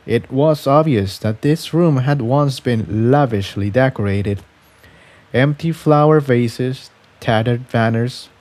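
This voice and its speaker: A man narrating a scripted adventure story as a reader of fan fiction, with a somewhat halting delivery that stumbles over words. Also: medium pitch, darkish tone.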